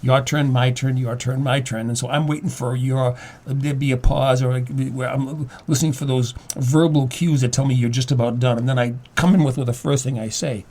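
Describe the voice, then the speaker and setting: An older man with an average-pitched voice talks through his ideas as an interview guest. He starts and restarts phrases, doubling words as he explains, in an unscripted, teacherly conversational way. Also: fairly even intonation, a little mellow. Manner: halting, repeats words, explanatory. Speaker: guest playwright and acting teacher.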